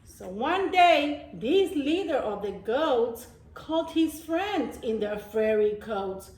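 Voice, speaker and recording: A woman reading a picture book aloud to young children, pausing to explain things simply like a teacher. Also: high-pitched, expressive, a little mellow. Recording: echoey room.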